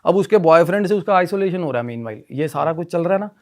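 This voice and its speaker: A man telling a story in animated conversational style, often repeating himself and mixing in English phrases. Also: a little high, fast talker. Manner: repetitive, mixes Hindi with English phrases. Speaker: podcast guest telling a ghost story.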